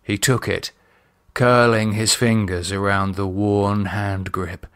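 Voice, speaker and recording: Slow, deliberate male horror narrator. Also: fairly low pitch, level tone, fairly bright tone. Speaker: author and narrator reading own novel. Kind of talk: dramatic audiobook narration of horror fiction.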